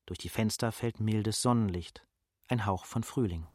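A man narrating a scripted radio drama as a sober literary storyteller, measured and dryly ironic. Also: on the low side, a little mellow, close to an everyday voice. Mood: matter-of-fact.

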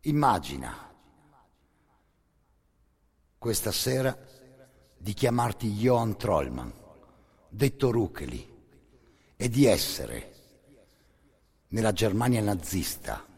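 A man with a husky, gravelly voice delivers an intense, theatrical scripted monologue for radio theatre, swelling between hushed and forceful. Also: deep voice, big pitch swings, slow pace, long pauses. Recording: long hall reverb.

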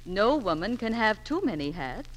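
A woman's voice: mid-range voice, big pitch swings, normal speed. A woman giving scripted beauty and fashion advice as a 1940s radio spokeswoman. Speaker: fashion reporter and sponsor spokeswoman. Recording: reverberant, noisy old 1940s radio recording.

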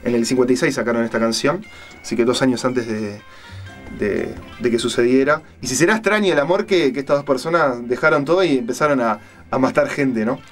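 A man with a Uruguayan accent hosting a casual radio chat, retelling stories in a halting, colloquial way and searching for words. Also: mid-range voice, faint music behind. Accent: Uruguayan Spanish. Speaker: radio show host. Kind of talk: casual on-air chat, retelling a film and crime story.

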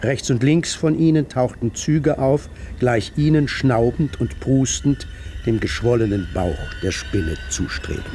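A man's voice: mid-range voice, unhurried, warm tone, slight background noise, natural voice. A man performing as a grave literary narrator in a radio drama. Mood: grave narration.

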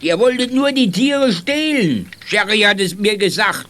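An actor playing a gruff, suspicious old watchman who grumbles and scolds in a German children's radio play. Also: high-pitched, lively intonation, unhurried, a bit bright. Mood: grumbling, suspicious. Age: older. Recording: very noisy radio play recording.

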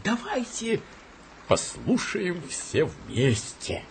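A man's voice: high voice, huge pitch swings, drawn-out words, slight background noise, exaggerated voice. An actor in a radio play as a whimsical, theatrical boss who savours his words and swings between stern and delighted. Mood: whimsical, intrigued.

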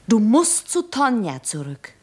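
Husky, clear-sighted woman. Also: a little high, big pitch swings, measured pace. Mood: firm. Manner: insistent, reasoning. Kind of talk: German radio play acting.

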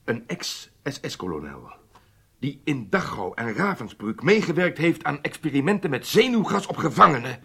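Obsessed spy chief in a radio play, husky voice, grave, pitch goes up and down a lot. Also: male voice, big pitch swings, normal speed, pushed and bright, exaggerated voice. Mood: troubled, intense. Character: obsessed, resolute Israeli intelligence chief defending his hard line. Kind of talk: Dutch radio drama acting.

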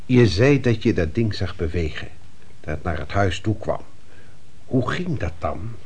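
Family doctor, radio play, raspy voice, varied intonation, stern, questioning, brusque. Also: male voice, lowish voice, sing-song, measured pace, darkish tone, full character voice. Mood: probing.

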